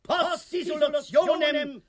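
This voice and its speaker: A sullen Spanish bishop in a radio drama. He declaims solemnly in an extremely high voice, intoning each word with ponderous slowness. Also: fairly even intonation, a loud crowd.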